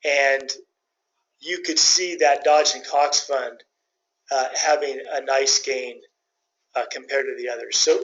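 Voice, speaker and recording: A man hosting a client conference call as a financial advisor, explaining things informally in a folksy, conversational way and thinking aloud with hesitant pauses. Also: a little high. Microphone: conference call line. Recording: dull muffled conference-call audio.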